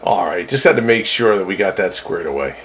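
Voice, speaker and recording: Deep-voiced wry man on two-way radio. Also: animated, fairly quick, gravelly. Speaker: amateur radio operator. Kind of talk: casual radio chat. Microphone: desk microphone. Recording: two-way radio with faint static.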